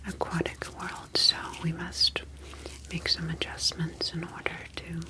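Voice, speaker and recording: A woman voicing a calm, clinical ocean alien scientist in an ASMR sci-fi roleplay, whispering breathily with formal, measured phrasing. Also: deep voice, rough recording.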